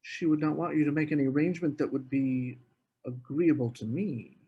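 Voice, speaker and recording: An actor plays an evasive, well-mannered gentleman in a drama table read. His husky voice sits a little high for a man, and his formal courtesy carries an uneasy edge. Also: a little fast, highs cut off. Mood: gently refusing. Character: anxious, evasive gentleman lodger, politely making excuses.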